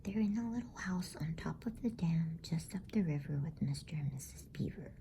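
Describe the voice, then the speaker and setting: A woman's voice: low-pitched, animated, normal speed, dark tone. An eager-to-please boy in a bedtime storybook, acted by a woman. He pleads in a nervous, deferential whisper over faint noise.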